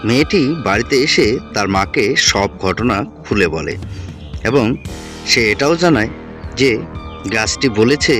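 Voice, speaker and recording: A man's voice: mid-range voice, lively intonation, a little slow, long pauses, fairly bright tone, faint music behind. A man narrating a scripted cartoon story.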